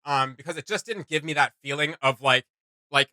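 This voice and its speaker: A man's voice: a little high, a little fast, pushed and bright, no echo, studio quality. A man hosting a reaction video, giving casual, emphatic and opinionated commentary.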